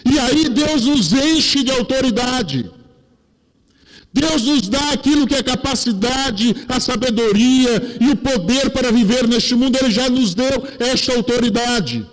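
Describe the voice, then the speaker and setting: A man's voice: extremely high pitch, unhurried. A middle-aged man with a Brazilian accent preaching a sermon as a pastor, emphatic and explanatory, on a headset mic in a church. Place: church pulpit, indoors. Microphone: headset microphone. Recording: long hall reverb.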